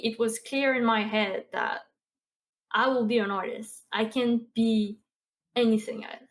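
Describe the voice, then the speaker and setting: A woman in her twenties with a Québécois French accent, a guest on a podcast, chatting casually with many fillers and soft laughs. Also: fairly high pitch. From Quebec. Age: 20s. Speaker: podcast guest, streamer and artist. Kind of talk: casual interview chat.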